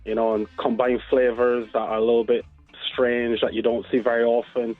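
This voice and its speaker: A man's voice: medium pitch, flat delivery, brisk. Bajan guy chatting in a radio interview, tinny phone sound, bit of music under it. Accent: Barbadian. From Barbados. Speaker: interview guest, dessert business owner. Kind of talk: casual interview.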